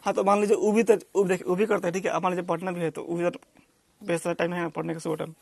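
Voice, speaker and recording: A man's voice: high voice, level tone, very fast, creaky, no room sound. A man with a Bihari accent in a spontaneous argument, insistent and repetitive, often checking for agreement. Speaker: villager complaining to a priest.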